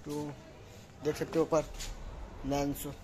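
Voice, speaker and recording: A man's voice: fairly high pitch, flat delivery, slow pace, mellow, slight background noise. A young man chatting casually and informally to viewers as a travel vlogger, using slang, on a handheld phone. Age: young adult. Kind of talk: casual vlog chat to viewers. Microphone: phone held at arm's length.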